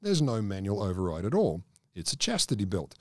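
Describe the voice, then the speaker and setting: Dude podcast host, cracking crude jokes, dry mic. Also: male voice, fairly low pitch, huge pitch swings, brisk, dry recording. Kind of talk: casual chat, telling news stories. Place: small studio room around a table. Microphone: desk mic on stand, headphones.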